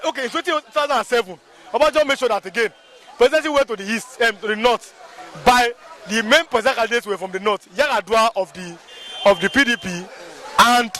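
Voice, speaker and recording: A man's voice: very high-pitched, a bit bright. A man with a Nigerian Yoruba accent speaking as a local movement spokesman, emphatic and repetitive, often checking "you understand?". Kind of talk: impassioned street interview.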